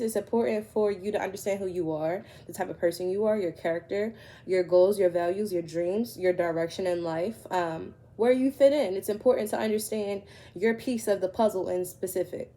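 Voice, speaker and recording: A woman's voice: mid-range voice, dark tone. A woman tarot reader, congested from a cold, giving conversational spiritual advice to her viewers and checking in with "right?".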